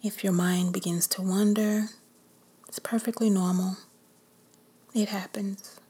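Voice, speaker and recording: A woman whispering softly as she leads a guided meditation. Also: mid-range voice, flat delivery, slightly rough. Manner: whispered, slow and soothing.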